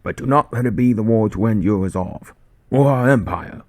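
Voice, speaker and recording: A man's voice: on the low side, normal speed, no echo, pitched above the performer's own voice, natural voice. A man voicing a fierce, patriotic British army sergeant in a war story, barking commands. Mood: rousing, commanding. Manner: shouted, commanding battle orders.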